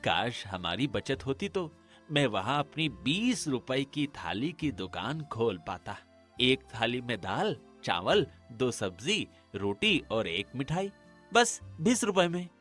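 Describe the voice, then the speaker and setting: A man's voice: medium pitch, very animated, takes it slowly, fairly bright tone, soft background music. A man playing a humble, hopeful poor village husband in a cartoon, speaking earnestly. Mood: wistful, hopeful. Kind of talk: acted Hindi cartoon dialogue.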